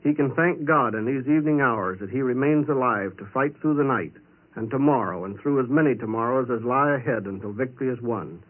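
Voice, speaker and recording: A man's voice: mid-range voice, fairly quick. A man with an American accent giving a scripted patriotic wartime radio address as an official, on a tinny old recording. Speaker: government official.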